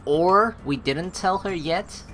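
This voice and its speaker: Lady lets play streamer, low voice, super expressive, reads the game out n reacts, soft music behind. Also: slow pace. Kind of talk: game playthrough, reading aloud and commenting.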